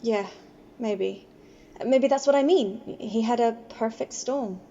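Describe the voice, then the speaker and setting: A woman acting a kind, stubbornly loyal homeless woman in an amateur radio play, plain-spoken, colloquial and a little halting. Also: fairly high pitch, gravelly, mellow. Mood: gentle, hesitant. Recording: dull lo-fi home recording, faint noise.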